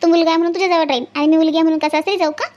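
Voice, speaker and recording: Woman playing a spoiled young daughter, very high voice, clingy, childlike, wheedling, Marathi cartoon skit. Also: fairly even intonation, very fast, darkish tone, muffled recording. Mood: protesting, clingy. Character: pampered, clingy young daughter about to marry. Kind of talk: homemade cartoon family skit acting.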